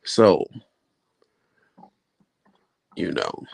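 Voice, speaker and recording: A man's voice: slow, a little mellow. A man hosting a vlog live stream, chatting casually with viewers in a rambling, folksy way. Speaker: vlog host answering live chat.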